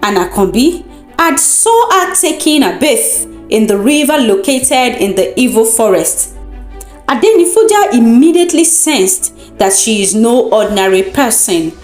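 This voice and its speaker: A woman with a Nigerian accent narrates a folktale as a storyteller, in a deliberate, dramatic and expressive manner. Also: fairly high pitch. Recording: roomy echo with music underneath. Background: music.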